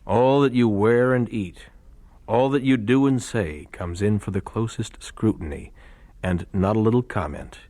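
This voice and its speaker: An actor playing a thoughtful, devout young missionary in a radio drama, speaking earnestly and reflectively. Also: male voice, lowish voice, unhurried, mellow, dry recording, natural voice. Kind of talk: radio drama acting, letter monologue.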